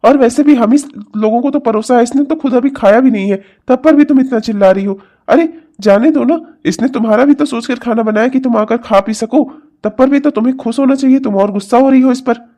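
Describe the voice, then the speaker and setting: A high-pitched male voice of a kindly older family patriarch, acted by a man. He reasons and placates in the melodramatic style of a domestic story. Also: steady tone, a little fast, lightly acted. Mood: pleading, exasperated. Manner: reassuring, gently reasoning. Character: placating, kindly older Indian father-in-law.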